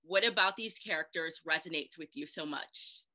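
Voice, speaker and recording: A woman hosting a casual interview, bubbly and quick to laugh. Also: low voice, sharp tone. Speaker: interview host.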